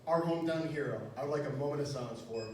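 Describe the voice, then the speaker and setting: A man's voice: a little high, very fast. A young man from Wisconsin performing a stand-up comedy set, telling crude, self-mocking jokes with a lot of "you know". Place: small club stage, echoey room. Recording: echoey room, distant mic, faint noise.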